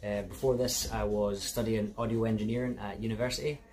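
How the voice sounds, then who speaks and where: A man's voice: fairly low pitch, fairly quick, a little hiss or hum. Chatty Scottish cobbler in his thirties. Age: 30s. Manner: relaxed, chatty, addresses viewers as guys. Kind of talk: casual workshop vlog. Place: small shoe repair shop, indoors.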